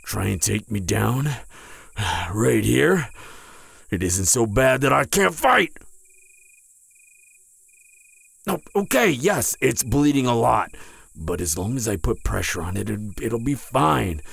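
Man acting a husky, flustered wounded hunter. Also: medium pitch, lively intonation, fairly bright tone, no echo, studio quality, exaggerated voice. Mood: defiant, strained. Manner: stammering, halting, breathy sighs and groans. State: in pain, strained. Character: wounded, proud, flustered young male vampire hunter. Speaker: audio roleplay voice actor. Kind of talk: intimate audio roleplay acting.

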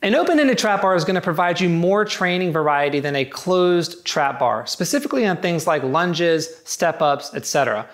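A man's voice: a little high, animated, normal speed, few pauses. A man giving a scripted, matter-of-fact review of home gym barbells. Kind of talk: scripted product review narration.

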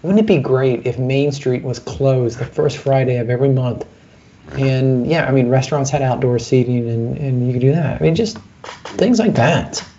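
A man's voice: medium pitch, normal speed, mellow, a little hiss or hum. A man from the Chicago area chatting on a panel over a video call, talking in a thoughtful, rambling way. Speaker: podcast host. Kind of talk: casual panel discussion. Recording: dull lo-fi video call audio.

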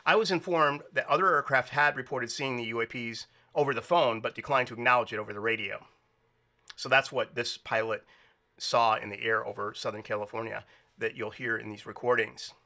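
A man's voice: medium pitch, steady tone, brisk, bright, forceful tone. A man reading out a report and explaining it informally as narrator. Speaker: narrator presenting air traffic recordings.

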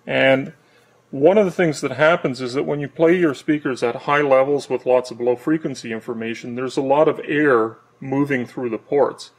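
A middle-aged man giving an unscripted technical demonstration as an engineer, in a measured, explanatory way. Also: mid-range voice. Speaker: loudspeaker engineer presenting his company's product. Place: large warehouse workshop with stocked shelving, indoors.

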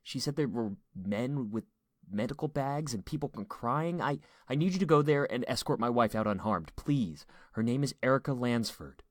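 A man's voice: mid-range voice, expressive, moderate pace, dry recording, clean studio sound, voice pushed up, lightly acted. A man voicing a tender, protective father and husband in an audiobook story, earnest and anxious. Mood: panicked. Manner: earnest, emphatic.

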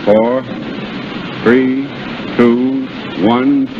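A man playing a steady, dutiful army captain in a 1930s radio drama, clipped and restrained. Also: mid-range voice, drawn-out words. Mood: tense, dutiful. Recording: muffled, very noisy 1930s radio recording.

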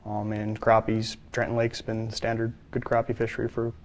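A man's voice: on the low side, completely flat delivery, normal speed. A man in his thirties, a fisheries biologist, answering interview questions plainly with many filler uhs. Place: studio, seated.

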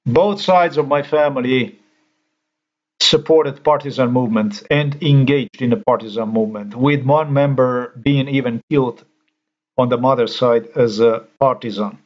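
Man, mid-pitched, angry halting rant, dull home-recorded sound. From Slovenia. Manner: indignant, halting, swears. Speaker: commentator. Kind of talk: ranting screen-recorded commentary.

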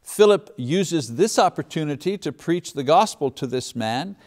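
A man's voice: fairly high pitch, expressive, moderate pace, a little mellow. A man giving a recorded Bible lesson, explaining the text in an unscripted way with fillers and restarts, reading scripture passages aloud and asking rhetorical questions. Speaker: Bible teacher.

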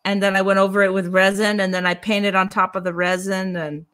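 A woman's voice: medium pitch, level tone, a little fast, fairly bright tone. A woman in her thirties hosting a casual video chat about art, speaking into a desk microphone. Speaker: host and artist. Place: cluttered indoor studio. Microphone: desk microphone on a boom, video call.

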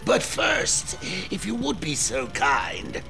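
An actor voicing a manic, sadistic clown supervillain in a video game, with a theatrical, mocking showman's delivery and a gravelly, cackling voice. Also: on the high side, huge pitch swings, slow pace, music in the background, heavily acted. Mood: sly.

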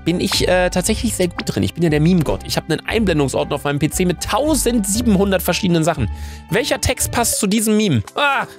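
A young man with a cold chats excitedly into a close mic while he plays a quiz game. He reads each answer out and swears casually when he gets one wrong. Music plays clearly underneath his voice. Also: sits high. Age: young adult. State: has a cold. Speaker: streamer playing a meme quiz. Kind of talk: casual gaming commentary. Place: indoor room at a desk. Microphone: studio mic near the face. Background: background music.

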